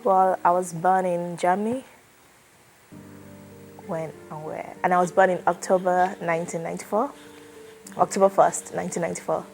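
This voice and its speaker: A woman in her twenties, raised in Nigeria, answers interview questions in a loose, conversational way. Her voice sits a little low, and faint music plays behind her. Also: moderate pace, creaky, pauses often. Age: 20s. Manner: relaxed, chatty, many fillers like "like" and "you know". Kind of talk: oral history interview.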